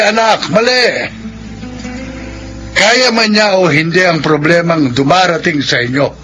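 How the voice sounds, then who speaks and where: A man's voice: high-pitched, pushed and bright, exaggerated voice, poor audio. An actor playing a stern, principled older Filipino father, admonishing with emphatic moral weight in a radio drama. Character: stern, principled elderly father scolding his grown son.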